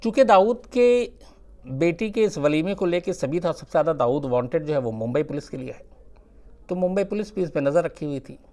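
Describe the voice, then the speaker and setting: A man telling a true-crime story without a script, conversational and suspenseful, with dramatic pauses. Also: on the high side, expressive, darkish tone.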